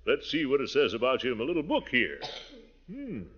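An actor playing a blustery, kindly middle-aged uncle in pompous, flustered old radio comedy style, given to muttering and chuckling. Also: male voice, mid-range voice, wildly swooping pitch, a little fast, fairly bright tone, slightly rough, full character voice. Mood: jolly pretence. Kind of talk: 1940s radio comedy acting. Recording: muffled old radio recording.

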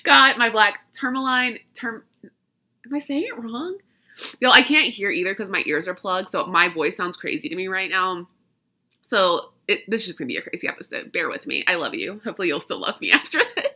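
A woman's voice: mid-range voice, fairly quick, sharp tone. A woman hosting a podcast in casual chat, congested and stuffy from allergies, chatty and often laughing at herself. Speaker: podcast host.

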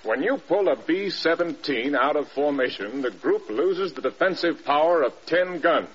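An actor playing a stern, demanding American air force general in clipped, blunt classic radio drama style. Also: male voice, a little high, animated, moderate pace, fairly bright tone, a little hiss or hum. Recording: dull, band-limited old radio recording.

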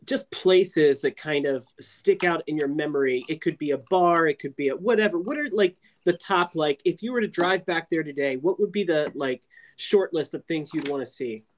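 A man's voice: on the high side, moderate pace, few pauses. A man in his forties hosting a casual chat, asking loose, rambling questions. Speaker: host.

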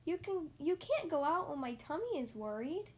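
A woman's voice: sits high, sing-song, moderate pace, voice pushed up. A woman voicing an anxious little boy in a storybook, speaking in a pleading, childlike way. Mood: worried. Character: anxious little boy, sulky but brave.

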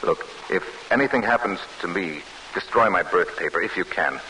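An actor playing a grave, guarded Polish soldier telling of his wartime escape, earnest and deliberate, in an old-time radio drama. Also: deep voice, expressive, sharp tone, poor audio. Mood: urgent, guarded. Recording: hissy vintage radio broadcast.

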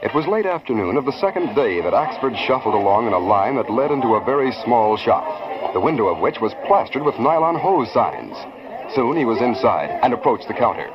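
A man's voice: medium pitch, very animated, fairly quick. A man narrating a scripted radio drama in 1940s style. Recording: muffled old radio recording with music.

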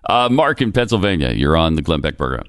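A man's voice: a bass voice, sing-song, fast, clean tone. A man co-hosting a radio talk show, taking callers and chatting casually with a wry, laughing manner.

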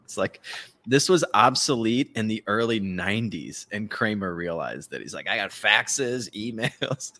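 A man's voice: fairly low pitch, animated, a little slow, sharp tone. A man co-hosting a podcast in casual chat, with a sardonic, sweary way of talking. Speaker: podcast co-host.

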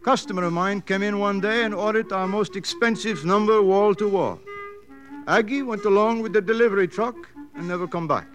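An actor playing a forlorn abandoned rug dealer with plaintive, clipped phrases, in 1950s radio drama style. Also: male voice, high voice, fairly quick, fairly bright tone, soft background music.

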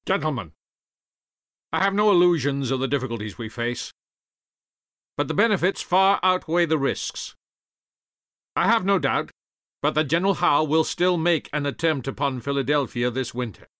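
A formal, commanding general rallies his officers in a raised voice, played by a male audiobook narrator. The voice swings freely in pitch and sounds close and dry. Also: lively intonation, normal speed, long pauses, a bit bright, no echo. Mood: resolute. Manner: formal, rousing speech.

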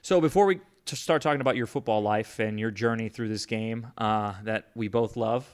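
A man's voice: on the low side, normal speed, smooth, no echo, studio quality. A man hosting a sports podcast from a small audio booth, chatty and upbeat with rambling asides. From United States. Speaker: podcast host. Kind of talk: casual podcast intro and interview.

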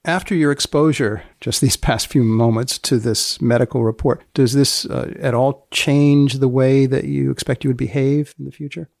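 Male host, creaky voice, dry studio sound. Deadpan, mock-solemn, deliberate phrasing. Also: medium pitch, no echo. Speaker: podcast host. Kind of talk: wry scripted podcast presenting.

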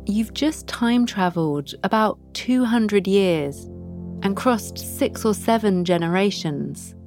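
A woman hosting a podcast, leading listeners through a guided meditation with soft prompts and long pauses. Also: medium pitch, faint music behind. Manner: slow, soft, pausing between prompts. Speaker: podcast host guiding a practice.

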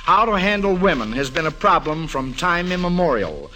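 Bright, high-pitched American radio quizmaster man. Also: very animated, moderate pace. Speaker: quiz show host. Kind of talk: mid-century radio quizmastering. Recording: vintage radio recording, faint noise.

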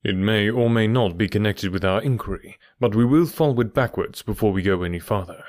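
Man doing a precise, confident detective, low and dry, laying out his deductions. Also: fairly quick, a little mellow, dry recording, lightly acted. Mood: lecturing. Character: brisk, methodical middle-aged male detective.

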